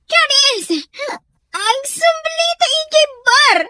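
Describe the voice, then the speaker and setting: A woman's voice: extremely high pitch, animated, slow, sharp tone, no echo, heavily acted. A woman acting a rebellious, whiny young daughter who drinks, in an Ilocano radio drama. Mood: drunk, insistent.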